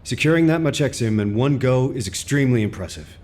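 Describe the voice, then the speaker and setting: An actor playing a calm, supportive male commander giving orders and praise. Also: mid-range voice, brisk, natural voice. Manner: calm and encouraging. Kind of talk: game cutscene voice acting.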